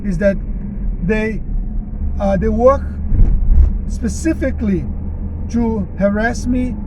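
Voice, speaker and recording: High-voiced Brazilian man, traffic behind. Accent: Brazilian Portuguese. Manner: halting, repeats words and restarts often; aggrieved, complaining tone. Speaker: vlogger. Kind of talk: rambling monologue.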